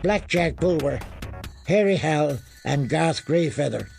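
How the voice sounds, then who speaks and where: A man's voice: fairly high pitch, expressive, a little slow, vocal fry, darkish tone, faint music behind. A man doing a solemn, fretful officer. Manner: solemn, worried. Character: solemn, fretful male officer of a sworn brotherhood.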